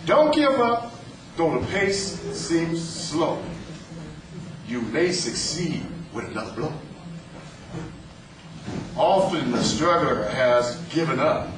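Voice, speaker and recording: Loud slow-speaking man in his fifties. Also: fairly high pitch, very animated. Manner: loud, declaiming with slow emphatic delivery. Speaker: rally speaker. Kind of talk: reciting a poem at a rally. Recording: echoey, distant, with clear background noise.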